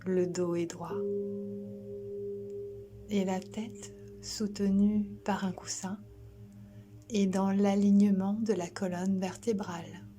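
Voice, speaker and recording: A woman guiding a sleep relaxation as a yoga instructor, soothing and gentle, soft and breathy, often near a whisper, with long pauses. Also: medium pitch, little pitch movement, a little hiss or hum.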